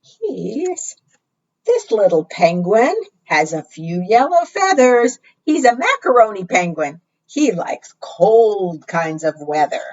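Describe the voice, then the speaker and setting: A woman's voice: fairly low pitch, lo-fi sound. A woman hosting preschool storytime, reading and teaching in a sing-song way, often putting questions to small children. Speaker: library storytime host.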